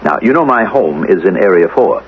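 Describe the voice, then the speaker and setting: An actor playing a smooth-talking, inquisitive government agent from the future, coolly polite and insistent in a radio drama. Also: male voice, low voice, lively intonation, moderate pace. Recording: muffled, hissy old radio recording.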